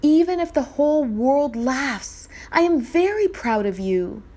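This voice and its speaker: Woman as a gentle, slow-spoken storybook mother. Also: sits high, expressive. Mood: consoling. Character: gentle, proud, consoling mother of a young boy. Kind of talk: storybook read-aloud.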